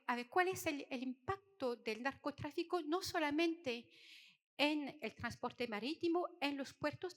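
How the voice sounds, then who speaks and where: A middle-aged woman from France with a French accent, giving a conference talk in a hesitant way, with many fillers and restarts. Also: high-pitched. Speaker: conference speaker. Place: conference hall. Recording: hall reverb.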